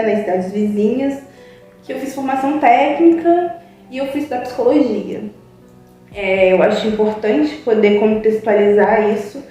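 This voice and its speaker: A young woman, a psychologist, talks in a mellow, fairly high voice, earnest and reflective, often pausing on a drawn-out filler. She sits back from the mic in a strongly echoing room with faint music underneath. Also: recorded from a distance. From Brazil. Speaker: psychologist guest. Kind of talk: informal spoken talk to a web TV channel.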